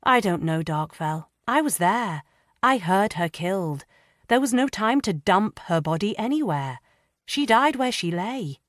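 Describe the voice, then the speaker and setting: A woman voicing an earnest young gentlewoman who speaks softly and carefully. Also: medium pitch, expressive, unhurried, no room sound, studio quality, pitched above the performer's own voice, natural voice.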